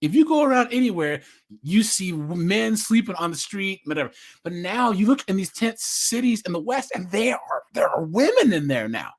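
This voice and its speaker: An American man with a fairly high voice makes his case forcefully on a live video call. His talk is loose and conversational. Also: big pitch swings, normal speed. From United States. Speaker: live stream participant. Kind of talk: casual group discussion.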